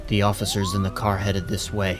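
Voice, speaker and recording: A man playing a dutiful police captain in an audio drama, deferential and measured in manner. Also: on the low side, monotone, background noise, natural voice. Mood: resigned, steady. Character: dutiful, composed male police captain serving mobsters. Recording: music and rain under the voice.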